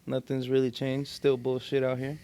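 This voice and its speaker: A man's voice: mid-range voice, monotone, moderate pace, warm tone. A man with an American accent in casual conversation, speaking in a laid-back, slangy and profane way as a podcast co-host. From California Central Coast, United States. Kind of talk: casual chat.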